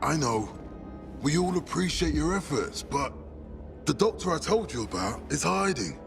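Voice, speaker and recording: A persuasive, gravelly older military leader. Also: male voice, on the high side, big pitch swings, over music. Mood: earnest, coaxing. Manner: rhetorical, measured, coaxing. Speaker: voice actor in a video game. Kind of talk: cinematic game dialogue.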